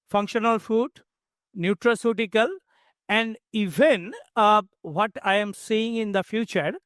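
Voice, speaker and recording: Male expert panelist, high-pitched, halting emphatic explanations, dry acoustic. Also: a bit bright, clear, resonant tone, dry recording. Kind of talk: explanatory webinar answers.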